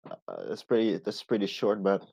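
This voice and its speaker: A man's voice: fairly low pitch, normal speed, vocal fry, slight background noise. A man in his twenties teasing and mocking others in an online voice-chat argument. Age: 20s. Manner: teasing, deadpan mockery. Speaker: debate stream participant.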